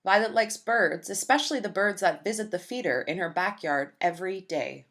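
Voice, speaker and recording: A woman reading a children's picture book aloud in a gentle, expressive storytelling manner. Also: medium pitch, moderate pace.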